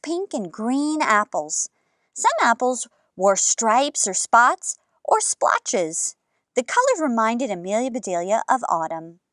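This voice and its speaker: A woman in her thirties hosting a children's story time, reading and chatting with expressive, exaggerated enthusiasm. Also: on the high side, dry recording, clean studio sound.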